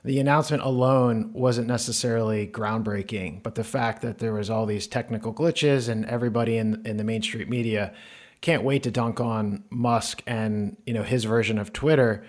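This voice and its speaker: A man's voice: medium pitch, steady tone, moderate pace, a little mellow. A man with a mid-range voice hosts a podcast. He introduces the episode and talks with his guest in an upbeat, conversational manner. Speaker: podcast host.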